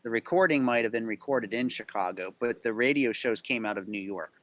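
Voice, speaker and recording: A man's voice: on the low side, a little fast, fairly bright tone. A man answering club members' questions informally on a video call, explaining things in detail.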